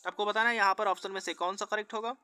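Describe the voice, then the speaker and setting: A man's voice: sits high, fairly even intonation, racing, pushed and bright, clear, resonant tone, no room sound. A man working through exam practice questions as a teacher, with an instructional, formulaic question-and-answer delivery. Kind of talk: scripted quiz walkthrough lesson.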